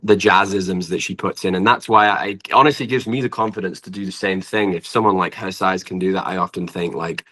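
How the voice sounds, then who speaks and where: Male musician from the UK, low register, relaxed casual conversation as a podcast guest. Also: brisk, hardly any pauses. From United Kingdom. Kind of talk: casual chat.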